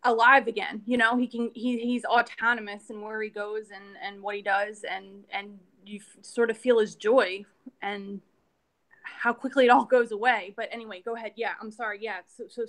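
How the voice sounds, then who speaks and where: A woman's voice: fairly high pitch, steady tone, normal speed, a bit bright. Rambling woman in a book chat. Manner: rambling, many restarts and "you know"s. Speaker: book club member. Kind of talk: casual book discussion.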